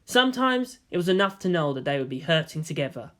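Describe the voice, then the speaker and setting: A man's voice: fairly high pitch, sing-song, fast talker, a bit bright. A man reading fiction aloud as narrator, in a dramatic scripted style. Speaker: story narrator.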